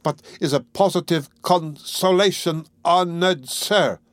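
A man's voice: fairly high pitch, slow pace, dry recording, voice pushed up. A man reading an audiobook, voicing a meek, self-pitying drunken husband who speaks submissively. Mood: abject. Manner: submissive pleading.

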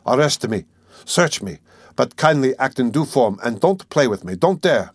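A man's voice: medium pitch, lively intonation, moderate pace, dry recording, studio quality. A man voicing a haughty, tormented young suspect in an audiobook, imperious and defiant. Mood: seething. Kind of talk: dramatic audiobook character reading.

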